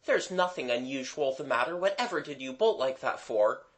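A narrator voicing a gentlemanly, light-hearted boy with a honeyed, reassuring manner in a storybook. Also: medium pitch, animated, normal speed, natural voice. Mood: honeyed, innocent. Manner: honeyed, explaining.

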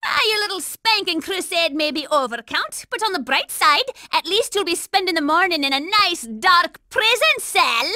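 Casting: a hot-headed kid girl commander for a cartoon game, very high, piercing and animated. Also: normal speed, hardly any pauses, no echo, clean studio sound, heavily acted. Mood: fierce, commanding. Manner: brash, shouty, commanding. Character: bossy, hot-tempered young girl kid operative. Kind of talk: cartoon video game acting.

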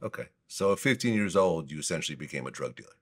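A man's voice: rumbling low, big pitch swings, fast talker. A man hosting an interview, asking direct, leading questions. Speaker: interview host.

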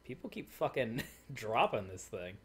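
A man's voice: mid-range voice, big pitch swings, unhurried. A man in his thirties giving casual, joking, sweary commentary while playing a game. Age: 30s. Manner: crude, swearing. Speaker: gaming video host.

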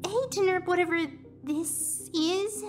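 A woman's voice: very high-pitched, slow, soft background music. A woman voicing a spirited, unimpressed pony ex-agent with a dry, sardonic manner in an audio drama.

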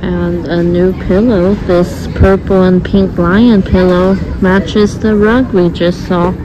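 A woman narrating a shopping vlog as she walks store aisles, reading out items and prices in an unhurried way with light chuckles, recorded on a handheld phone. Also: lowish voice, steady tone, dull audio, background noise. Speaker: shopping vlogger. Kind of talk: casual shop-with-me narration. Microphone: phone camera held by the speaker.

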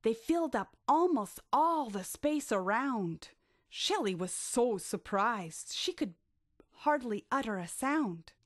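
A woman narrating a rhyming bedtime story for children with expressive, drawn-out delivery. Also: fairly high pitch, dry recording, studio quality.

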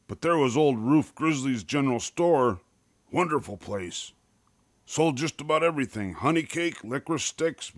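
A man's voice: mid-range voice, no room sound, voice pushed up. An old grandfather bear , voiced by a man reminisces in a children's story. He is folksy and teasing, talks in short clipped phrases, and is recorded dry.

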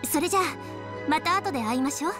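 Proud prim anime game girl, high voice, bit stiff n shy, music behind. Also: expressive, fast talker. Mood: embarrassed. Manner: composed, prim, slightly stiff. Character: capable, proud young woman, awkward and shy about feelings. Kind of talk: Japanese anime-style game voice acting.

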